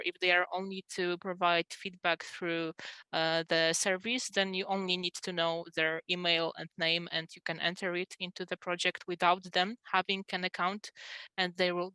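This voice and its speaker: Woman, bright tone, fairly flat intonation, halting, explanatory webinar answer. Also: on the low side, clean tone, no room sound. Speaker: webinar panelist. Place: indoors, on a video call.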